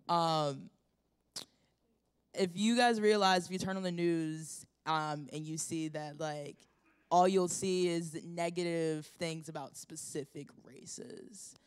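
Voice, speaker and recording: A student argues a point in a group discussion. The voice is smooth and clear. The speech rambles, with many fillers, and the points land with emphasis. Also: medium pitch, takes it slowly, a bit bright. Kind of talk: casual spoken opinion.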